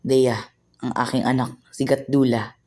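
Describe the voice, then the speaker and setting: A woman's voice: extremely low pitch, level tone, measured pace, mellow. A woman playing a stern older father who speaks firmly and gives orders, in an amateur radio play. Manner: firm, commanding.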